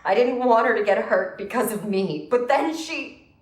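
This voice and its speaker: An actress playing a guilt-ridden, grieving woman in a horror game, speaking hesitantly and earnestly in halting phrases. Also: fairly high pitch, reverberant, natural voice. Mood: distressed. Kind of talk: dramatic horror game voice acting.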